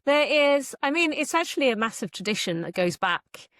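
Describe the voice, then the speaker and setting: A woman's voice: high-pitched, big pitch swings, moderate pace, a bit bright, dry recording, clean studio sound. A woman talking casually as an author guest in an interview, chatty and jokingly self-deprecating.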